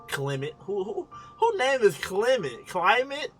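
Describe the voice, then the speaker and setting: A man's voice: high-pitched, wildly swooping pitch, measured pace, faint music behind. A man with an American accent giving casual, slangy, teasing commentary as he plays a video game at home. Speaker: streamer reacting to a video game. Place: room at home.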